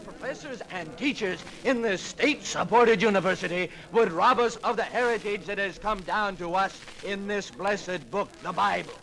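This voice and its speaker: Fiery male fundamentalist preacher, high and forceful, impassioned oratory for a vintage radio drama. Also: moderate pace, gravelly, hardly any pauses, exaggerated voice. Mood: indignant. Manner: declamatory, impassioned, rhetorical. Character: fiery fundamentalist Baptist preacher denouncing evolution to a crowd. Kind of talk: 1950s radio docudrama oratory. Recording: noisy vintage 1950s radio recording.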